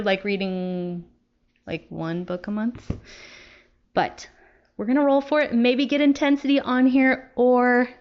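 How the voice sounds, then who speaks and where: A woman's voice: sits high, highs cut off. A young adult woman talking casually to viewers as a book vlogger. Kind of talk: casual chat to camera.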